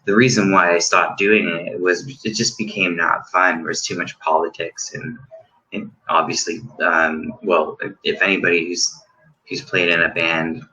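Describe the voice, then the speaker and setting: A man with a deep voice talks in a loose, rambling way, full of fillers and false starts. His tone is bright and forward. He sounds like a musician guest in a casual chat. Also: a little hiss or hum, low-quality recording. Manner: rambling, many likes and restarts.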